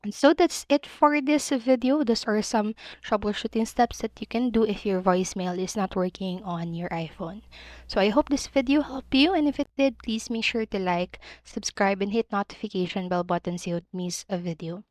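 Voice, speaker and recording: A woman's voice: medium pitch, moderate pace, darkish tone, no echo. A woman giving a scripted how-to voice-over as a tutorial host, explaining step by step and occasionally stumbling over words.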